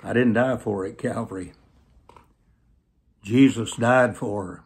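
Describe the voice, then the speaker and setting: A man in his seventies preaching through personal stories, speaking earnestly to camera with emphatic, drawn-out phrases. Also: lowish voice. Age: 70s. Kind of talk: sermon storytelling told to camera. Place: small plain indoor room, seated.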